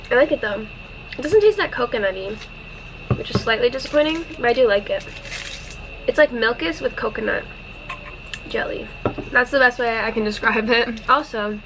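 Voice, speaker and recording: A woman's voice: on the high side, fairly bright tone. A woman vlogging as an exchange student, chatting casually to her handheld camera, rambling and laughing often, with a stuffy, congested nose. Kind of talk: casual vlog chat to camera. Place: dorm room, then outdoors on campus. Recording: noisy background.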